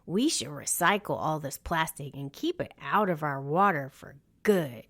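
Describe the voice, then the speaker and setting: Woman as an earnest, animated young rescue pup. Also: low-pitched, measured pace, voice pushed down, exaggerated voice. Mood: earnest, instructive. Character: helpful, eco-minded young male rescue pup.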